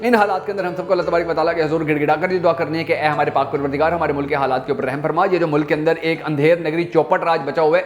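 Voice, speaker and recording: A Pakistani man hosts a news commentary channel in a fairly high-pitched voice. He talks very quickly and emphatically, stacking repeated phrases as he addresses his viewers. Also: muffled recording. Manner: emphatic, repetitive rhetorical build-up. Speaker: YouTube news channel host.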